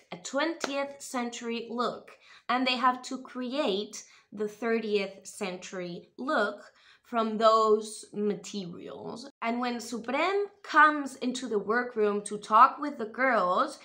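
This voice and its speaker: A young woman from Spain, raised in the US, chatting animatedly to camera from a room at home. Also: a little high. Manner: animated, expressive, gestures while talking. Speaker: vlogger reviewing a TV show. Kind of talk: casual chatty commentary to camera. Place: small room at home, indoors. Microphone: webcam or camera close in front.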